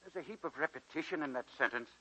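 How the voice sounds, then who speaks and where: An actor playing a dignified, kindly college president with a measured, folksy oratorical manner in a 1941 radio drama. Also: male voice, on the high side, pushed and bright, a little hiss or hum, low-quality recording. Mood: wry, kindly. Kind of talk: 1940s radio drama acting. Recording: 1941 radio broadcast recording.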